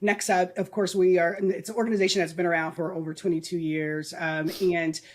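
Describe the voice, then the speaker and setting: A woman's voice: on the low side, little pitch movement, fairly quick. A middle-aged woman from Southern California, a guest talking casually in a podcast interview, with many ums and restarts and easy laughter. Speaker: guest, nonprofit executive.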